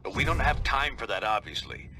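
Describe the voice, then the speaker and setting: A man's voice: medium pitch, big pitch swings, fairly quick. A man playing a firm, practical superhero team leader giving briefings in a video game. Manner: briefing, take-charge. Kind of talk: video game cutscene acting. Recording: clear background noise and ambience.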